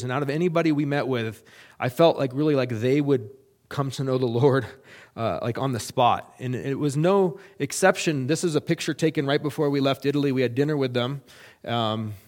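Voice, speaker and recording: Earnest, halting missionary man. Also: medium pitch, a little mellow. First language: English. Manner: earnest, halting, with many fillers and restarts. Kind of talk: missionary report to a church.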